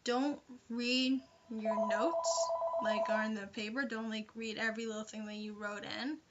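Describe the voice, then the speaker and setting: A woman's voice: on the high side, fairly even intonation, measured pace, muffled recording, heavy background noise. A young woman vlogger giving casual, chatty advice in an informal, hedging way with lots of filler phrases, on a home recording. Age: young adult. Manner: informal, hedging, frequent "like" and "you know". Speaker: vlogger giving interview tips.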